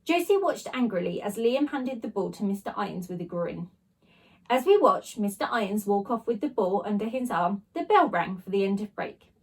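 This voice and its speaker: A woman teacher reading a children's storybook aloud with expressive narration. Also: mid-range voice, moderate pace, husky, poor audio.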